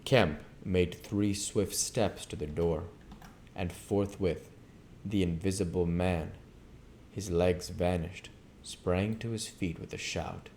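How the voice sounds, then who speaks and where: A man's voice: sits low, steady tone, takes it slowly, a little mellow, slight background noise. A man reading a storybook aloud as narrator. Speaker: audiobook reader.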